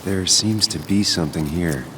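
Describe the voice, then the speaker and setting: An actor playing a melancholy, cynical former journalist in a subdued, introspective game monologue. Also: male voice, low-pitched, moderate pace, fairly bright tone, slight background noise. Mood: brooding, reflective. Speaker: game protagonist narrator. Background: music.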